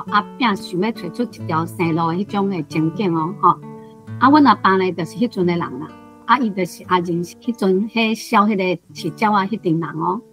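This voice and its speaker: A woman in her sixties with a Taiwanese accent, a language teacher sharing personal reflections in deliberate, particle-punctuated phrases. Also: medium pitch. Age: 60s.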